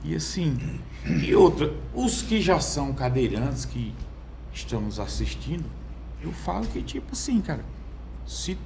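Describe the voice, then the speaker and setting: Halting Brazilian man, gravelly voice. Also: on the high side, very animated, darkish tone, slight background noise. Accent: Brazilian Portuguese. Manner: halting, many fillers and restarts. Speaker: podcast guest. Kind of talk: personal testimony in conversation. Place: podcast studio.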